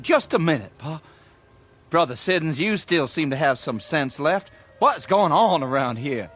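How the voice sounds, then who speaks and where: A man's voice: on the high side, expressive, unhurried, fairly bright tone. An actor playing a plain-spoken, indignant young country man in a radio drama, with a folksy rural American accent. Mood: puzzled, testy. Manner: folksy, incredulous.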